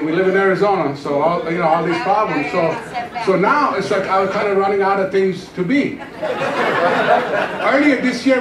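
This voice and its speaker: Storytelling pastor in an echoey church hall. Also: male voice, sits high, distant mic, very noisy. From Iran. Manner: storytelling, joking, animated gestures while pacing the stage. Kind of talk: humorous testimony sermon. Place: church stage, large room. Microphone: handheld microphone.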